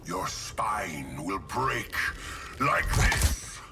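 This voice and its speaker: An actor playing a cruel, hulking male villain in a video game, with sneering, deliberate threats. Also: sits low, sing-song, full character voice. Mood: gloating menace. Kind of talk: video game cutscene acting. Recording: distant echoing voice under loud music.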